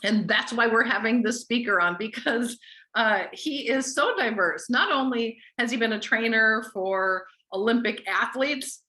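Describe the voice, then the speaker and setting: Female, highish pitch, bright forward tone, gushing enthusiasm, informal call host. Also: unhurried, rough recording. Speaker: host of a weekly company group call. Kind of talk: casual hosting, informal introduction.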